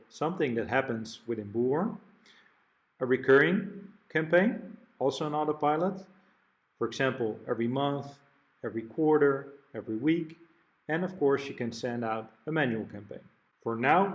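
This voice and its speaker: Man narrating a software walkthrough. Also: mid-range voice. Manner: explanatory, step-by-step, occasional restarts. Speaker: product demo presenter.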